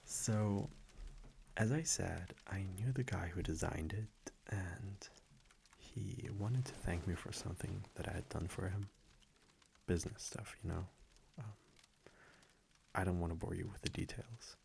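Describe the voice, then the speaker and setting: Very deep, slow vampire boyfriend voiced by a man. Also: creaky. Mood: relaxed, casual. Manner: soft, unhurried, affectionate, gently teasing. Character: tender, teasing immortal vampire boyfriend. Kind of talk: intimate ASMR audio roleplay. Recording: faint background noise, crackling fireplace ambience.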